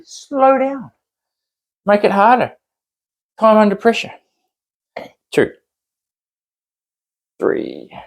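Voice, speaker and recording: A man's voice: on the high side, gravelly. Upbeat Kiwi man coaching a workout. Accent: New Zealand. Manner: encouraging, chatty, counts reps aloud while exercising. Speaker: fitness instructor. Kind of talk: workout demonstration, counting reps.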